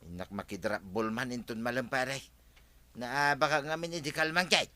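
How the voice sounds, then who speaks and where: An actor playing an insistent gambler in an audio drama, whining and pressing to borrow money. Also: male voice, mid-range voice, moderate pace, bright, forceful tone, no room sound, natural voice. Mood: wheedling. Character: wheedling gambler pestering his friend for a loan. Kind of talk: Ilocano radio-style audio drama acting.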